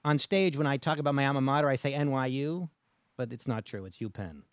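A man's voice: mid-range voice, quick pace, clean tone, no room sound. A man in his fifties chatting casually as a podcast co-host, dry and hesitant, often correcting himself, on a close studio mic. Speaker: podcast co-host, stand-up comedian. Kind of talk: casual chat. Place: small indoor podcast studio. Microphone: close studio mic on arm with headphones.

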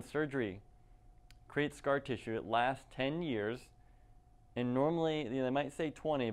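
A young man, a clinic doctor, speaking to camera in a conversational, persuasive way and asking rhetorical questions. He is recorded indoors in an office room on a lapel mic. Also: medium pitch. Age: young adult. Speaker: clinic doctor giving a patient talk. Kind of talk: direct-to-camera health presentation.

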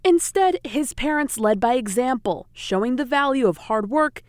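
Lively high-voiced woman news reporter. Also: normal speed, no room sound. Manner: bright, lilting reporter delivery. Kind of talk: scripted news narration.

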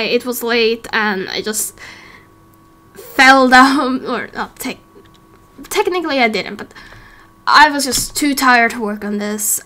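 A woman's voice: on the high side, a bit bright. A woman chatting casually and unscripted to her viewers as a gaming creator, hesitant, apologetic and tired.

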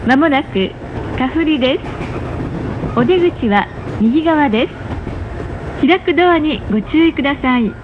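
A woman reading pre-recorded train announcements in a polite, formal, carefully measured manner. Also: expressive. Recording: muffled, noisy onboard train speaker recording. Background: rumble of a moving train.

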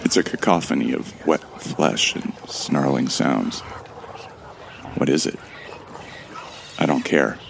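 An actor playing a resigned, weary man who narrates his own doomed flight slowly and gravely in an audio drama. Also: extremely low pitch, a little hiss or hum, heavily acted.

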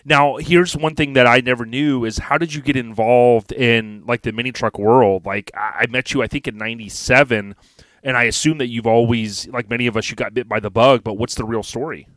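A man hosting a casual podcast, talking in a relaxed, chatty, friendly way. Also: mid-range voice, brisk, no echo. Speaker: podcast host.

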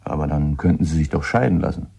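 An actor in a German radio drama playing a shrewd, relentless police inspector, husky and insistent as he questions. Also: extremely low pitch, fast, warm tone, full character voice. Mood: probing. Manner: probing, insistent.

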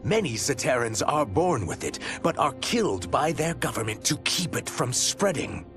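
A man's voice: medium pitch, very animated, moderate pace. An actor playing a proud, wronged reptilian shape-shifter, narrating his story solemnly for a video game. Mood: grave, resolute. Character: proud, wronged male shape-shifting reptilian mutant emissary vowing justice. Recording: loud music behind the voice.

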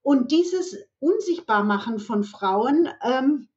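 A woman's voice: sits high, expressive, slow. A woman speaking as an expert guest, explaining didactically with examples and rhetorical questions, in a slightly muffled recording. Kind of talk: explanatory interview talk.

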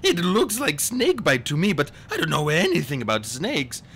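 A man's voice: huge pitch swings, moderate pace, a bit bright, slightly rough, full character voice. A man voicing a scheming villain who pretends to be a distressed, fluttery assistant in an audiobook story. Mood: feigned sorrow. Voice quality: high, fluttery when pretending. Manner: theatrical, oily, falsely apologetic.

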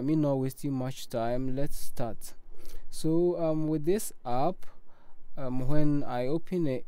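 Slow, mellow young man demoing an app. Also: mid-range voice, clear, resonant tone, dry recording. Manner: unhurried, halting walkthrough with frequent pauses. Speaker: app developer walking through his own app. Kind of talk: screen-recorded app demo voice-over. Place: voice-over recorded separately from the screen capture.

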